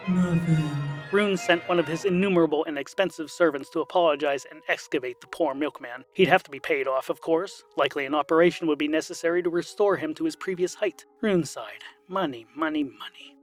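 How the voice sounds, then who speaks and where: Man, narrator, fairly high pitch, theatrical storytelling, scripted comic fantasy, music bed.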